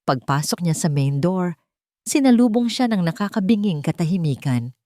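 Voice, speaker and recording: Woman storyteller, lowish voice, dramatic scripted narration, very animated intonation, dry recording. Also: moderate pace, no echo.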